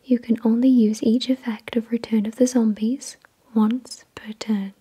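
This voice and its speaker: A woman whispering softly and breathily in ASMR style, reading aloud in a deliberate, measured way. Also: a little high, little pitch movement, husky. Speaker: ASMR video creator and host. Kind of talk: soft-spoken ASMR, reading card text aloud.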